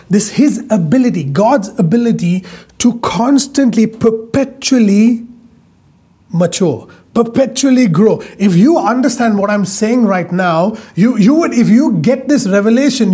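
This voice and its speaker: Male preacher, high husky voice, emphatic, stretches words for weight. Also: dark tone. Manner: emphatic, repeats phrases, stretches key words slowly. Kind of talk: sermon.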